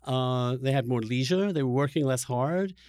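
A man hosting a conversational interview, thinking aloud in halting, searching phrases that he often rewords. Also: medium pitch, a little mellow, dry recording, clean studio sound. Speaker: podcast host interviewing a guest.

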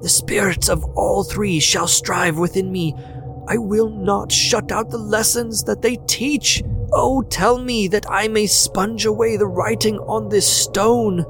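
A male storyteller puts on an old miser's voice, pitched high and pleading in anguish. He cries out desperately over music playing in the background. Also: lively intonation, slow pace. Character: old miser man, terrified and begging.

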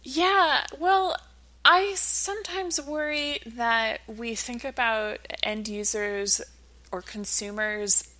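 A woman's voice: fairly high pitch, takes it slowly, pushed and bright. A woman speaking as an expert guest in an interview, explaining ideas conversationally through anecdotes. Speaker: interview guest, behavioral science researcher.

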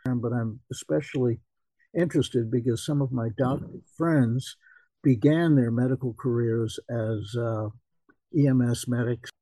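A man's voice: medium pitch, measured pace, dark tone, no room sound, rough recording. An older man giving a casual self-introduction as a participant in a group conversation.

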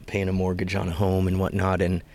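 A man in his thirties from the Pacific Northwest, a former Marine, telling stories in an easygoing, soft-spoken way. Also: deep voice, monotone, fairly quick. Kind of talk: casual interview chat. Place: front seat of a parked van, door open, rain.

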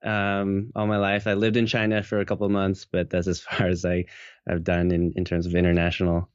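A young man from Seattle, guest in a casual interview, talking in a relaxed, hesitant way with many fillers and restarts. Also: sits low, fairly quick, smooth, no echo. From Seattle, United States. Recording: dull, lo-fi audio.

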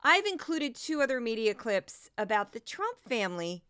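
A woman's voice: on the high side, big pitch swings, moderate pace, a bit bright, clear, resonant tone, no echo, studio quality. A woman hosting a podcast, giving sarcastic, casual commentary between news clips. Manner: sarcastic, wry. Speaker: podcast host.

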